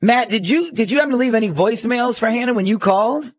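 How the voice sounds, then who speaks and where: A man's voice: extremely high pitch, fast, no room sound. A man hosting a radio phone-in, mediating casually in a tentative, slightly stammering way. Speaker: radio show host.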